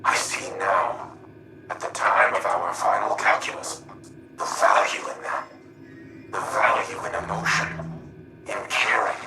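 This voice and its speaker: A man voicing a grave, dutiful artificial intelligence in a video game, speaking in formal, measured, analytical phrases. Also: deep voice. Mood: remorseful, reflective. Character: grave male warmind AI in a robotic body, remorseful and dutiful. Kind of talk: scripted video game dialogue. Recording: echoing voice under loud music.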